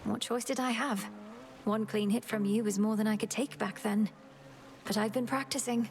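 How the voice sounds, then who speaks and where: A woman's voice: on the high side, fairly even intonation, moderate pace, darkish tone, faint music behind, close to an everyday voice. A woman voicing an earnest, determined young princess goddess in a video game, courteous, sincere and articulate.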